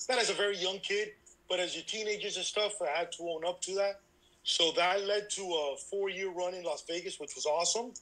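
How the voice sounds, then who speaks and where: Man, thirties, interview guest, frank and self-deprecating, lively, high bright tone. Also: fairly quick, low-quality recording. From Cuba, raised in Miami. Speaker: baseball instructor guest. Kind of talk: candid life story in interview.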